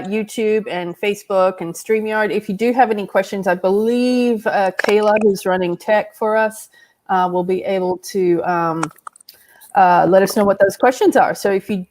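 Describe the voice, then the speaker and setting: A woman's voice: medium pitch, a little mellow, slight background noise. A woman moderating an online Q&A, upbeat and encouraging, with many fillers. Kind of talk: hosting a Q&A.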